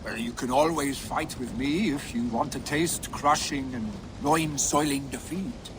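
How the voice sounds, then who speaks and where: A man playing a brash, boastful game warrior. His middle-pitched voice banters grandly, with rain and rumble clearly audible. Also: unhurried, natural voice. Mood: boastful, teasing. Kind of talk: dramatic video game acting. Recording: rainy rumbling ambience noise.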